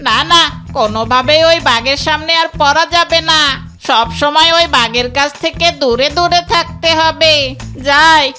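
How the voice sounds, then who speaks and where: A performer voicing a sly, greedy male fox in a cartoon, gleeful and excitable as he talks to himself. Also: normal speed, exaggerated voice. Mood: relieved. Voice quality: high bright cartoon voice. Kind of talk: cartoon voice acting. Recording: music behind.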